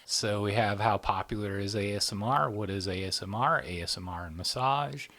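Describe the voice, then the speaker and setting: A man with a fairly low voice hosts a relaxed interview. He keeps his guest going with frequent affirmations and picks up energy when he presents figures and topics. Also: moderate pace. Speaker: interviewer and host. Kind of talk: casual interview, running through a topic list.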